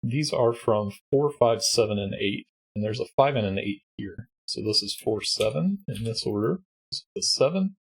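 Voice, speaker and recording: A man with a stuffy, congested voice talks a listener through a logic puzzle one cell at a time. His tone is low and slightly husky, and his explaining is patient and methodical. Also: lively intonation, normal speed, poor audio. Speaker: puzzle solving host. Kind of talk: sudoku walkthrough.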